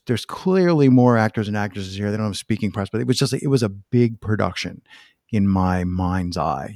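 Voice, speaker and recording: A man's voice: on the low side, darkish tone, no room sound. Casual podcast host, a man, dry close sound. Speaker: podcast host interviewing a guest. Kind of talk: casual, conversational interview.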